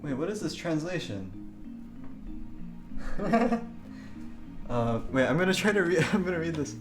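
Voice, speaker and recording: A man with a fairly high voice gives casual live commentary as a game streamer. He is giggly and chatty as he goes, and music plays clearly behind him. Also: sing-song, a little slow. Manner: giggly, breaks into laughter while talking.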